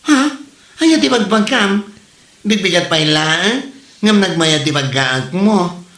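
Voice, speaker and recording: A man's voice: sits high, animated, a little slow, a bit bright, full character voice. A man playing a dramatic, incredulous village neighbour with an Ilocano Filipino accent in a comedy audio drama. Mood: shocked, incredulous. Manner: exclaiming, theatrical. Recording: roomy echo with faint music.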